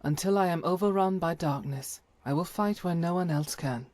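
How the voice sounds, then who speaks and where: A woman playing a devout, stern holy warrior in a video game, speaking in solemn, formal, measured phrases. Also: lowish voice, a little mellow, dry recording, lightly acted. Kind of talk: scripted video game character dialogue.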